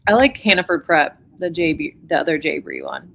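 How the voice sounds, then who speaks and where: A woman's voice: sits low, fairly quick, gravelly, a bit bright, slight background noise. A woman co-hosting a casual book chat, thinking aloud and correcting herself. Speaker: co-host of a book club live show.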